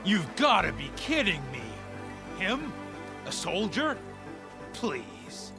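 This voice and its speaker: A scornful young game warrior, high voice jumping all over, sneering. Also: male voice, big pitch swings, takes it slowly, gravelly, over music, heavily acted. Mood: scornful outrage. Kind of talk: video game cutscene acting.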